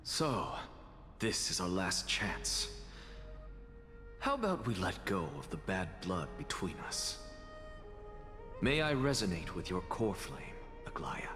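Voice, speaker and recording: A man voicing a sardonic, weary, dying scholar in a video game, speaking in a deliberate, haughty and theatrical way. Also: deep voice, big pitch swings, full character voice. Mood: intense. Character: sardonic, dying one-eyed male scholar, weary and candid. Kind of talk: scripted game voice acting. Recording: long hall reverb, loud music.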